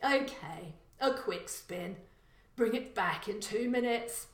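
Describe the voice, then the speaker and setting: A woman's voice: medium pitch, lively intonation, slow, roomy sound. A woman voicing a confident, burly middle-aged burglar father who gives blunt orders in a crime story. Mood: indulgent.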